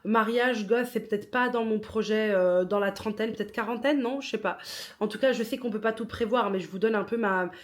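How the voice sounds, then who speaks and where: A woman's voice: mid-range voice, fairly quick. A woman in her thirties, not a native speaker of French, talking casually and personally about her plans as a podcaster and writer, often switching into English mid-sentence and laughing at herself. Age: 30s.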